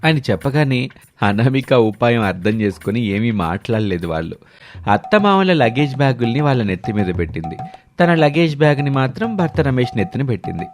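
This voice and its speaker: A man's voice: medium pitch, very animated, brisk, faint music behind. A man narrating a scripted moral story as its storyteller.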